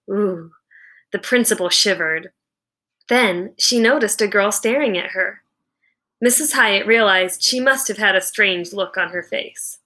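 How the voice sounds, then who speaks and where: A woman teacher giving an expressive, deliberate read-aloud of a children's novel with dramatic storytelling. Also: medium pitch.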